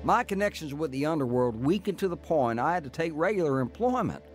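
A man plays a world-weary crook telling his story in a radio drama. He is tough and wry, fairly high-voiced and very animated, with faint music underneath. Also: brisk, lightly acted. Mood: wry recollection. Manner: wry, clipped, hardboiled. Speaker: narrating protagonist. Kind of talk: first-person radio drama narration and acting.

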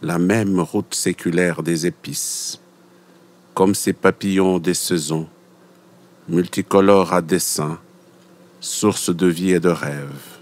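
A man reciting his own poem as solemn spoken word, in a grave, deliberate, declamatory manner. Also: deep voice. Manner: grave, deliberate, declamatory, with repeated short phrases.

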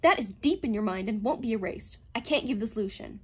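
A woman's voice: fairly high pitch, expressive, quick pace, breathy edge. A woman voicing an anguished, cornered male robot in a dramatized audiobook, pleading and protesting desperately. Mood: wild despair.

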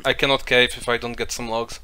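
Bright-voiced joking young man. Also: mid-range voice, level tone, fast, smooth. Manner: joking, hesitant, stammering. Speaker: teammate. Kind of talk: casual gaming chat with friends.

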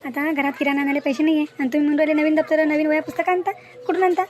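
A woman acts a practical village mother, fretful yet matter-of-fact. Her high voice moves quickly in a Varhadi Marathi accent, in a homespun cartoon story. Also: flat delivery, darkish tone, lightly acted. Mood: fretful arguing. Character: practical, worried mother of poor village family. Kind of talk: amateur moral-story cartoon dialogue.

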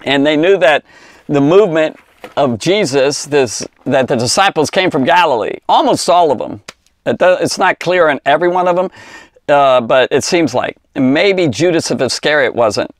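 A man's voice: on the high side, animated, moderate pace, dry recording. A man in his fifties with an American accent, giving an informal, rambling Bible lesson for kids, often restarting and correcting himself. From Cincinnati, United States. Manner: rambling, conversational, with false starts and self-corrections. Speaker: Bible teacher. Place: small indoor study lined with bookshelves. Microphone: lapel mic clipped at the collar.

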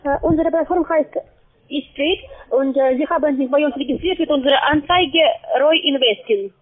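Female call-center agent, high voice, foreign accent, non-native broken phrasing, halting scripted pitch, tinny phone audio. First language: not German. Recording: tinny, narrowband phone line.

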